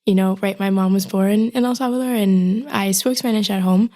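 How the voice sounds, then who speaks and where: A young woman, a graduate student co-hosting a podcast, speaking warmly and effusively with frequent fillers. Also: medium pitch, steady tone, normal speed. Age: young adult. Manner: warm and effusive, with frequent fillers like "you know" and "like". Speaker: podcast co-host. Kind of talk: casual interview conversation.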